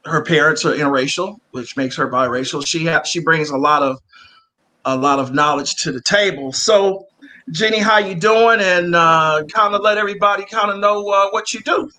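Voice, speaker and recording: A man's voice: a little high, moderate pace, pushed and bright. A man hosting a podcast interview in a casual, rambling way, with many fillers and restarts. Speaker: podcast host.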